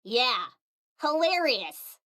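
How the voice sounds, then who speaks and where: An actress voicing a skeptical young woman in a game cutscene, quick to question. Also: sits high, big pitch swings, sharp tone, full character voice. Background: game music.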